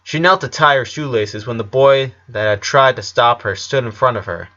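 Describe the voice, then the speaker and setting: A man's voice: mid-range voice, normal speed, sharp tone, highs cut off. A man reading a scripted story aloud as an audiobook narrator.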